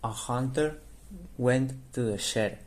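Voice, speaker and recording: A man's voice: mid-range voice, slow pace. A man reading scripted story narration as the narrator of an amateur radio drama.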